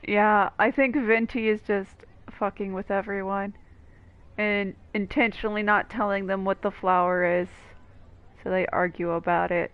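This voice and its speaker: A woman's voice: medium pitch, steady tone, normal speed. A woman giving casual let's-play commentary, with playful, wry asides. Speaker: gaming video host. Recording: slightly dull lo-fi mic. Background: faint game music.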